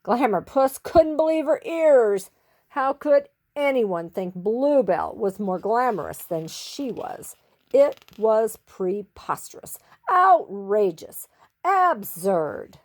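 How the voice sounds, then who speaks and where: A woman reading a children's story expressively, with theatrical, drawn-out emphasis on key words. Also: a little high, expressive.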